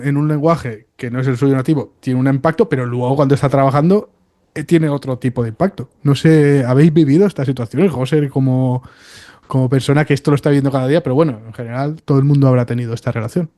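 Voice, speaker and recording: A man's voice: mid-range voice, fast talker, mellow. A man leading a casual podcast discussion, thinking aloud and putting questions to the other guests. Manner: reflective, asks the others questions.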